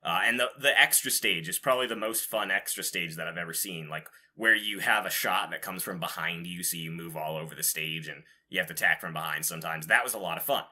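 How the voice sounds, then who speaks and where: Bright, low-pitched man hosting a podcast. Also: fast, hardly any pauses. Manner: informal, rambling, casually profane. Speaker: podcast host. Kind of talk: casual chat about video game news.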